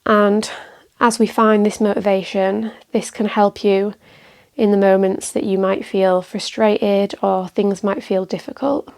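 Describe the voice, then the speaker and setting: A woman leads a guided meditation at a slow, unhurried pace. Her voice sits in a middle register with a noticeable creak at the edges. She instructs gently and calmly, leaving space between phrases. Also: medium pitch. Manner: calm, gentle, measured with pauses. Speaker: meditation teacher.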